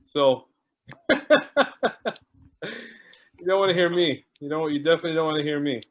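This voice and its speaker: Man, chatty host on a video call, joking, greeting arrivals, slightly high voice. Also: normal speed, dull audio. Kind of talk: casual chat on a video call.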